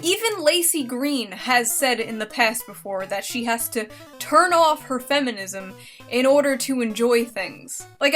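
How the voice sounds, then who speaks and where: A woman with a high, forceful, bright voice delivers casual online commentary. She is sarcastic and mocking, with lively swings in pitch and faint music behind her. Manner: mocking, expressive, swears freely.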